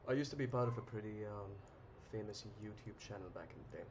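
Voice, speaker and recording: A young man hosting a live trading stream, chatting casually with viewers in a relaxed, rambling way with many ums and restarts. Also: fairly low pitch, fast talker. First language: English. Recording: muffled lo-fi audio with clear noise.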